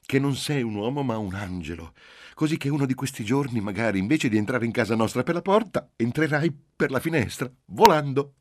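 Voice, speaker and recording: A man's voice: fairly low pitch, very animated, moderate pace, vocal fry, few pauses, breathy edge, pitched above the performer's own voice, heavily acted. A man reading a novel aloud, voicing a playful, teasing young woman.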